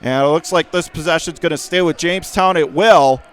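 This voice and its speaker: A man doing live radio play-by-play of a basketball game in punchy short phrases, getting excitable on big plays. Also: on the high side, a little fast, clear, resonant tone, a faint crowd. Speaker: radio play-by-play sports announcer. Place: indoor school gymnasium during a game.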